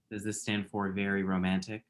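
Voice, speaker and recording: A man acting a dramatic, lovestruck man in his late twenties in a rom-com script read, rambling and quippy. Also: fairly low pitch. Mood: wry, flustered. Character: dramatic, lovestruck, scrolling-obsessed twenty-seven-year-old man. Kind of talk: screenplay table read, rom-com dialogue.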